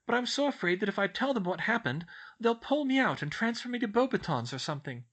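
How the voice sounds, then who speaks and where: Man doing an anxious, high-pitched young schoolgirl. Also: very animated, normal speed, bright, forceful tone, heavily acted. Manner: tentative, polite, hesitant. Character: earnest, anxious young schoolgirl, polite and hesitant.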